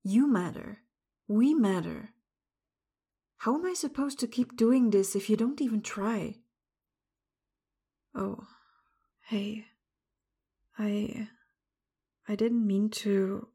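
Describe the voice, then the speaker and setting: A woman speaking straight to the listener, first frustrated and confrontational, then soft, apologetic and tender, much of it in a breathy whisper. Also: mid-range voice, fairly even intonation, takes it slowly, long pauses. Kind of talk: roleplay audio addressed to the listener.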